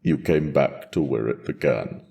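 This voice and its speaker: A man voicing a wise, steady dragon in a fantasy audio drama, speaking in a measured, gently probing way. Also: a bass voice, vocal fry, pitched below the performer's own voice, full character voice. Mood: gently questioning. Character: wise, steady male dragon, bonded to his rider.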